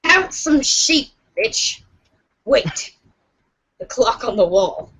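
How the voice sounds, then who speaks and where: A woman's voice: fairly high pitch, very animated, extremely slow, fairly bright tone, slightly rough, poor audio. A woman reading a script aloud on a podcast as dramatic, story-like narration. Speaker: podcast reader.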